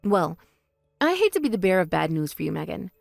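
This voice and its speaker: A woman voicing a calm, composed older sister who speaks in a measured, quietly cutting way in a voice drama. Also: on the low side, creaky, dry recording, studio quality, faint music behind, exaggerated voice. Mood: cool. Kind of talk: voice drama, one performer.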